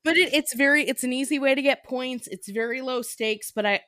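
A woman podcast host chatting casually, explaining things in an animated, giggly way. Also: sits high, a little fast, clean tone, dry recording, clean studio sound. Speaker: podcast host and sketch creator. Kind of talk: casual chat.

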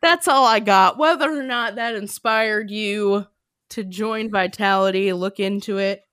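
A woman's voice: medium pitch, unhurried, a bit bright, clean tone, no room sound, studio quality. A woman co-hosting a podcast in casual, playful chat, often laughing. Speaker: podcast co-host.

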